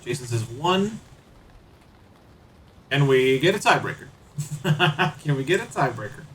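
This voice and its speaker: A man's voice: medium pitch, sing-song, measured pace, a bit bright, distant mic, rough recording. A man streaming a game, chatting casually with viewers and reading bits aloud.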